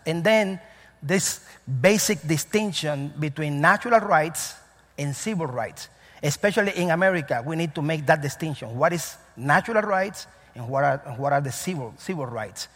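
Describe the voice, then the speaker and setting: A man's voice: on the high side, fairly bright tone, breathy edge, big-room sound. A man from Cuba with a Cuban Spanish accent, preaching a sermon as the pastor of his church in an earnest, emphatic way, often restarting his phrases. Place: church sanctuary.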